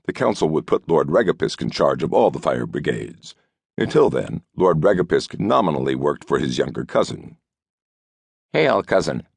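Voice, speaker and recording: A man narrating an audiobook novel in a scripted storytelling style, with a gravelly voice. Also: a bass voice, very animated, normal speed, no echo.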